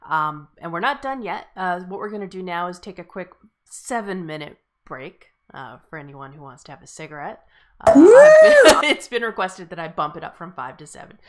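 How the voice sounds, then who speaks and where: A woman's voice: on the low side, huge pitch swings, normal speed, slight background noise. A woman casually hosting a live online stream, addressing the participants and those watching. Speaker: host of an online table read.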